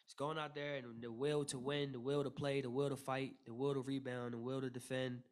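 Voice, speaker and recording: A young male basketball player talks at a postgame podium in a middle-pitched, level voice that barely rises or falls. He answers loosely, repeating starts and leaning on "you know" as he talks into a table mic in a press room. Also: a little fast. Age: young adult. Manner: flat, steady delivery full of "you know" fillers and repeated starts. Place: press room with logo backdrop. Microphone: table mic in front.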